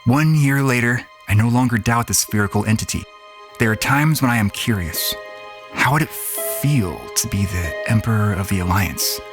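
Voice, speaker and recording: A man's voice: on the low side, lively intonation, normal speed, natural voice. A man playing a jaded antigravity racing pilot, telling his story in a blunt, colloquial, world-weary way. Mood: reflective. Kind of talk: first-person audio drama narration and acting. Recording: voice over music. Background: music.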